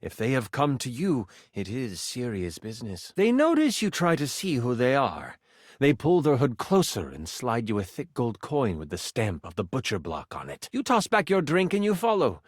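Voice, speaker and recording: A man playing a friendly, eager game master character in a video game, with expressive, theatrical storytelling delivery. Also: medium pitch, normal speed, dry recording, studio quality. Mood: dramatic storytelling. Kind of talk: theatrical tabletop game narration.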